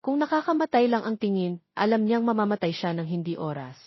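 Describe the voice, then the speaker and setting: A woman's voice: mid-range voice, sing-song, a little fast, no echo, dull audio. A woman narrating romance fiction as a scripted, dramatic story reading.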